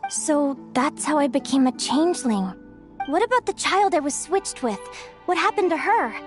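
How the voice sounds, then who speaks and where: Earnest changeling heroine, questioning manner, high voice, faint game music. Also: female voice, expressive, moderate pace. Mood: curious. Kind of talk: game voice acting.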